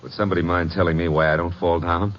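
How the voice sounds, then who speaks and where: A man's voice: deep voice, steady tone, quick pace, very noisy, lightly acted. An actor playing a cocky, wisecracking American legman in a radio detective drama, breezy, flippant and wry. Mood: dazed, indignant. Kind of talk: 1940s-50s radio detective acting. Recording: dull old radio recording.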